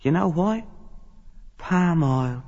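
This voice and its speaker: A man acting a genial, self-satisfied businessman making animated small talk in a literary drama, his voice gravelly and husky. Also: a little high, slow pace. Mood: chatty, self-satisfied. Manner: chatty, animated. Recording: dull, long hollow reverb.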